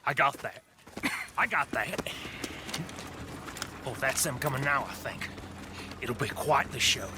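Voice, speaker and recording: An actor playing a grumbling, easygoing cowboy outlaw sidekick in a video game. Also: male voice, mid-range voice, animated, unhurried, pauses often, background noise, natural voice. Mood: wry. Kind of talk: video game cutscene dialogue.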